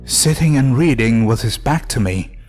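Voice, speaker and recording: A man's voice: mid-range voice, animated, moderate pace. A man giving a dramatic first-person narration of a scripted horror story. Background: faint music.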